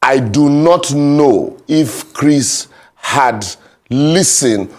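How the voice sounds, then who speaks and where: A man's voice: medium pitch, lively intonation, very slow. A Nigerian man speaking formally as a lawyer and guest in a panel debate.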